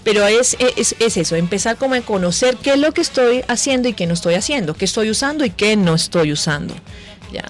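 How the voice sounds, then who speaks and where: A woman's voice: medium pitch, lively intonation, a little fast, faint music behind. A woman, a voice coach explaining conversationally as a radio guest, often checking with tag questions.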